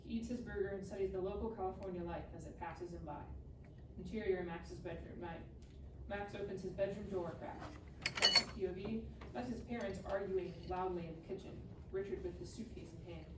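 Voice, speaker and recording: A woman's voice: medium pitch, a little fast. A woman narrating stage directions from a script at a live table read. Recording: roomy, distant mic, clearly noisy.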